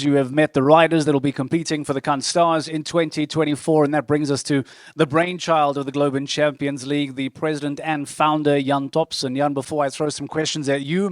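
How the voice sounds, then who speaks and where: A man hosting a press conference, moderating with lively, friendly jokes. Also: fairly high pitch, no room sound, studio quality. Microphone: handheld microphone.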